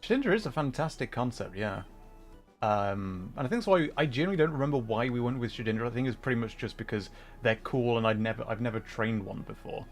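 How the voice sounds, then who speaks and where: A man's voice: mid-range voice, lively intonation, fast. Man chatting over a livestream, music behind. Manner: breaks off to answer viewers. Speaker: livestreamer playing a game and chatting. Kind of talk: casual livestream chat with game commentary.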